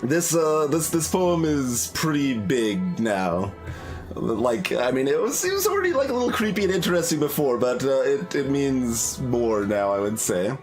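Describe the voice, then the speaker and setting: A man's voice: medium pitch, very animated, normal speed, soft background music. A man giving casual game commentary, rambling and tentative as he thinks aloud. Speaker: let's play streamer.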